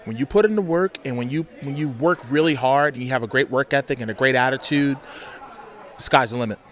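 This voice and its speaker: Man chatting in interview, mid-pitched voice. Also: a little fast, a bit bright, a faint crowd. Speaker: pro wrestler being interviewed. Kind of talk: casual interview chat.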